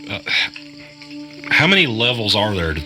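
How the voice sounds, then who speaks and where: Audio drama: wary, sullen everyman played by a man, very bright and tense, lowish pitch, sarcastic. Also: sing-song, moderate pace, soft background music, full character voice, low-quality recording. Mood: shaken, questioning. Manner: sullen, skeptical, hesitant. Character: wary, sarcastic ordinary guy drawn into a mystery.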